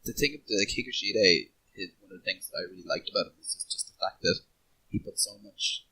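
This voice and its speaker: A man's voice: sits low, lively intonation, fairly quick, pushed and bright, slightly rough, rough recording. A man in a casual podcast discussion, talking in a rambling, enthusiastic fan's way. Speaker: podcast panelist.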